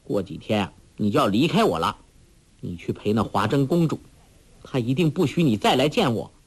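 A man's voice: medium pitch, animated, brisk, husky. A man voicing a clever, bold young woman in a martial arts story, teasing and then imperious in turn. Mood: tender. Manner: coy and playful, then imperious.

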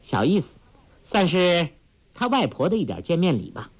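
A man's voice: a little high, very animated, moderate pace, voice pushed up. A man voicing a clever, bold young woman in a martial arts story, teasing and then imperious in turn. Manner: coy and playful, then imperious.

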